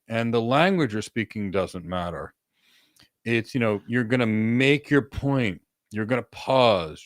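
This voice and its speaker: A man speaks into a webcam from a home office with several monitors, co-hosting a live career Q&A. He sounds earnest and motivational, stacking short instructions one after another. His voice sits at a middle pitch and sounds dry and close, with no room echo. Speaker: co-host answering career questions. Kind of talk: live Q&A advice. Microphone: desk webcam setup, video call.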